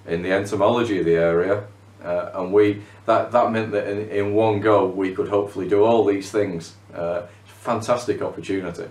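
Cave diver in a roomy living room. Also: male voice, on the low side, fairly even intonation, normal speed, a little mellow. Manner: repeats words when starting phrases. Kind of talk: documentary interview. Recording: roomy, from a distance.

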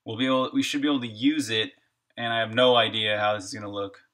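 A man's voice: on the low side, steady tone, fast talker, pushed and bright. A man streaming his game development live, talking casually through his code and reacting to chat. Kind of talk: live coding stream, thinking aloud.

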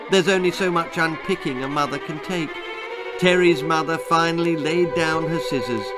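An older man, an author reading a spooky, comic children's story aloud in a theatrical, deliberate way, drawing out key words. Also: mid-range voice, low-quality recording. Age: older, about 60s or 70s. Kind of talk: dramatic children's horror-comedy storytelling. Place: book-lined room indoors. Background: background music.

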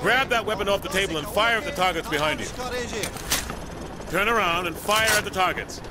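An actor playing a brash, no-nonsense American army sergeant, giving blunt, commanding instructions to a group in a military game. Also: male voice, high voice, fairly quick, heavy background noise, close to an everyday voice. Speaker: drill instructor. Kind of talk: military game tutorial dialogue.

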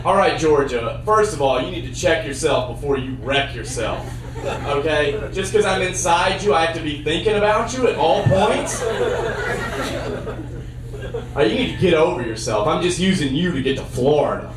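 Young man doing stand-up, very lively pitch. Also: normal speed, a crowd in the background. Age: young adult. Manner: deadpan one-liners with sudden loud bursts. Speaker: stand-up comedian. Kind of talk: stand-up comedy set. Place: dark theater stage. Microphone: handheld mic on a cord. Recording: roomy sound from a far camera.

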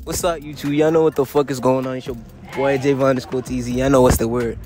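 A man's voice: mid-range voice, level tone, fast. A young man hosting a casual street interview with heavy slang, hyped and repeating his phrases. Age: young adult. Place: outdoors on a residential street and parking lot. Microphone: handheld mic. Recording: outdoor street recording, faint music behind.

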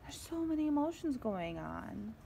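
A woman teacher reading a picture book aloud to young children in an expressive way, often turning to them with asides and questions. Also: high-pitched, gravelly, slight background noise. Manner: expressive, slow and deliberate, addressing young children.

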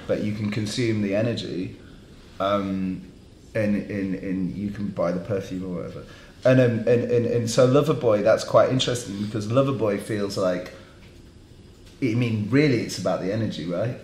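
A man's voice: fairly low pitch, normal speed, darkish tone, reverberant, a little hiss or hum, poor audio. A man, a fashion journalist, hosting a panel discussion with rambling restarts. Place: dark studio around a table.

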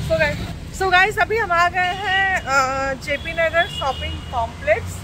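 Woman vlogger chatting to the camera outside, high voice, loud crowd and traffic all around. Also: slow pace. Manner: chatty, addresses viewers directly. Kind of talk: casual vlog chat mixing Hindi and English. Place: busy city street outdoors.

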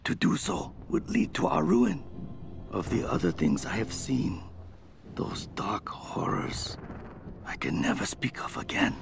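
An actor playing a grave, wary ambassador and spy in a video game, reading his journal in measured, formal, dramatic phrases. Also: medium pitch, wildly swooping pitch, vocal fry, in a whisper, exaggerated voice. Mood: urgent dread, then haunted. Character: grave, wary male ambassador spying at a foreign court. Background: music.

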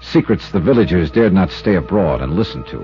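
A low-pitched, hard-boiled American investigator in a 1950s radio drama. His clipped, sardonic delivery swings widely in pitch. The sound is muffled old radio with music behind it. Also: lively intonation, a little fast, dark tone. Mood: brooding, wry. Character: hard-boiled, wry male insurance investigator narrating his case.

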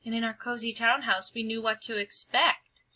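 A woman voicing a gentle, easily startled female town mouse in a storybook, earnest and expressive. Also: on the high side, normal speed, rough recording. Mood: wistful.

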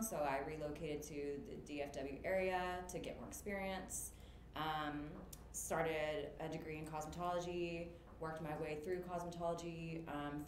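Woman in her thirties, low voice, casual chat, roomy webcam sound, audible background noise. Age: 30s. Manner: chatty, lots of fillers, laughs easily. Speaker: guest, makeup artist business owner. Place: indoor room, on a video call.

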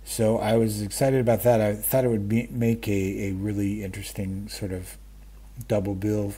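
A man in his fifties or older chatting casually about stories, halting and interrupting himself in a self-deprecating way, with a husky voice. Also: fairly low pitch, flat delivery, dark tone. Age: 50s or older. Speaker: book vlogger. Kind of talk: casual rambling chat about stories and radio. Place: small room at home, indoors. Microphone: webcam framing, wearing wired earphones.

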